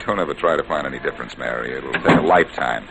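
An actor playing a cool-headed, wisecracking amateur detective in 1940s radio style, flippant and teasing. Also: male voice, a bass voice, fast talker. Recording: noisy old-time radio recording.

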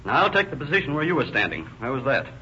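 Authoritative homicide captain, old-time radio crime show. Rapid, pointed interrogator, muffled recording with faint hiss. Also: male voice, mid-range voice, sing-song, pushed and bright. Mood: accusing. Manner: brisk, authoritative, pointed questioning. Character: sharp, probing police homicide captain, coolly authoritative.

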